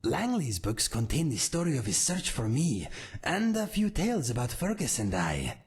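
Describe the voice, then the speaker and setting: A raspy cat-folk swordsman. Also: mid-range voice, big pitch swings, heavily acted. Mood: earnest. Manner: measured, formal and warm-hearted. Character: calm male cat-folk swordsman, earnest and loyal. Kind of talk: game character dialogue.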